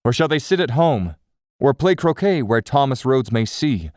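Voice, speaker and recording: A man's voice: medium pitch, big pitch swings, moderate pace, a little mellow, no room sound, studio quality. A man of mid-range voice reading verse in a rhetorical, questioning style. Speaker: poetry reader in a group recording.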